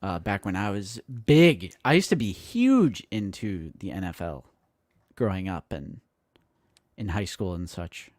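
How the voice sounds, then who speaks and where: A man's voice: low-pitched, unhurried, dry recording, studio quality. A man in his late twenties co-hosting a live stream, chatting casually and joking, acting out quotes. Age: late 20s. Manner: animated and joking, acts out quotes. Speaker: co-host of a toy collecting live stream.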